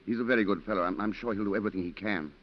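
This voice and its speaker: An actor playing a devoted, anxious colonial planter, earnest and emotional, in a 1940s radio drama. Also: male voice, fairly low pitch, very fast, close to an everyday voice. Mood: uneasy. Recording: muffled 1940s radio recording.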